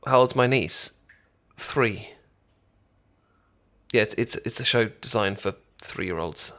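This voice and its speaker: British male, unhurried and stammering, acting an eager, chatty best friend for ASMR roleplay. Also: lowish voice, a bit bright. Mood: chatty, enthusiastic. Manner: halting, stammering, rambling. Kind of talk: ASMR roleplay, casual chat.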